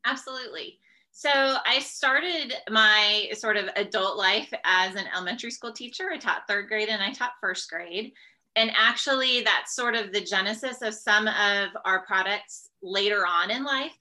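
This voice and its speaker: A woman talks as a guest educator in an interview. Her voice is bright and forward at a medium pitch. She explains in a conversational way, often checking in with the listener. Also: normal speed.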